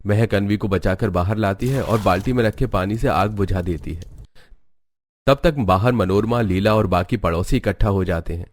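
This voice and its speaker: A man's voice: lowish voice, moderate pace, no room sound. A man reading scripted narration for a cartoon story as its narrator.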